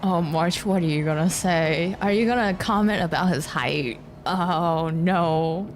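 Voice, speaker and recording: A woman livestreaming a game, playfully reacting and reading dialogue aloud in a giggly, excitable way. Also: lowish voice, measured pace. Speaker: streamer. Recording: game music behind the voice. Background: game music.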